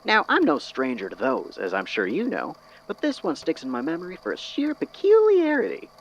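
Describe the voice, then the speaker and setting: An actor playing an eccentric, courteous, musing storyteller with a folksy, wordy, theatrical manner in an audio drama. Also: male voice, on the high side, wildly swooping pitch, brisk, exaggerated voice. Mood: wistful, storytelling. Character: eccentric, courteous, philosophical storyteller man from Tennessee. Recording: dull lo-fi audio with clear noise.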